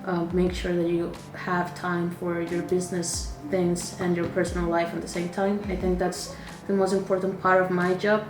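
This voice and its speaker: Flat-voiced young woman from Venezuela. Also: lowish voice, moderate pace, few pauses, roomy sound, recorded from a distance, background noise. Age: 20s. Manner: brief, plain answers. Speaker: executive assistant. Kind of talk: casual interview answers.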